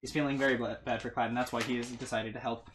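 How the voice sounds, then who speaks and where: A game master narrating a tabletop fantasy game off the cuff, in a halting, stammering, conversational way. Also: medium pitch, level tone, noisy background.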